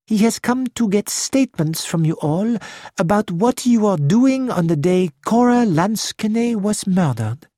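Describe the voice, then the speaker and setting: A woman's voice: medium pitch, animated, measured pace, dark tone, dry recording, studio quality. A woman reading the part of a fussy elderly male detective with a French-flavoured manner, polite and precise. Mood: courteous.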